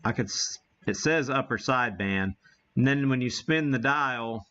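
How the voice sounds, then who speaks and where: An American man hosting a casual live demo, thinking aloud in a folksy way, on muffled low-fidelity stream audio. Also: moderate pace, fairly bright tone. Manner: folksy, mild homespun exclamations. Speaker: livestream host demonstrating ham radio gear.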